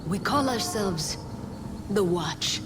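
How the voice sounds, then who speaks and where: An actress playing a tough, no-nonsense grandmother in a video game, brisk and matter-of-fact. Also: medium pitch, expressive, noisy background. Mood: confiding, resolute. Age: older. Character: tough, no-nonsense grandmother leading a neighborhood watch. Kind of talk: video game cutscene acting.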